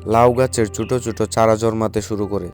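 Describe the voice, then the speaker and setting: A man's voice: lowish voice, fairly even intonation, moderate pace, a little mellow. A man reading scripted storybook narration for a cartoon. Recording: faint music behind the voice.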